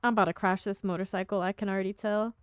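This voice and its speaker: A woman with a medium-pitched voice streams a video game live. She talks casually and off the cuff, poking fun at her own play as she reacts. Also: steady tone, rapid-fire, smooth, no echo, studio quality.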